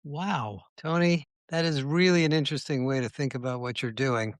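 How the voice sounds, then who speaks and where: A man's voice: mid-range voice, moderate pace, no echo. A man hosting a podcast interview, asking questions in an upbeat, conversational way. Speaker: podcast host.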